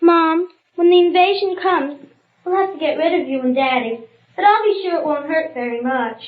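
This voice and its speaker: High-pitched earnest little girl. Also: normal speed. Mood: matter-of-fact. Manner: eager, offhand, childish slang. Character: earnest, secretive young girl, absorbed in play. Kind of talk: old radio drama acting. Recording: dull lo-fi old radio recording.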